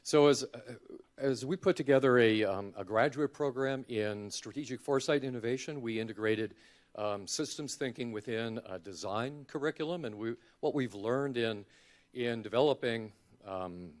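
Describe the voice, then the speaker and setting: A man making a halting, thoughtful comment in academic language during a conference discussion. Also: medium pitch. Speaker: audience member, design educator.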